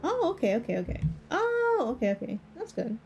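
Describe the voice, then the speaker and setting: Chatty higher-voiced woman livestreamer. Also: very animated, fairly quick, darkish tone. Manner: chatty, repeats short phrases. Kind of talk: casual chat with stream viewers. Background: game music.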